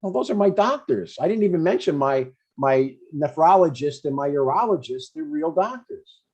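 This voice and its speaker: An older man with a husky voice playing a blunt, easily annoyed but caring dad in an informal comedy table read. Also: fairly high pitch, animated, normal speed, darkish tone, muffled recording. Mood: chatty.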